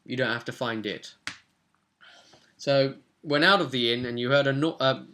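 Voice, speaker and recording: Young male game master, bright forceful tone, mid pitch, informal session recap. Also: normal speed, a little hiss or hum. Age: young adult. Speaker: game master and vidcast host. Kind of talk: casual recap of a tabletop roleplay session. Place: small room at home, indoors.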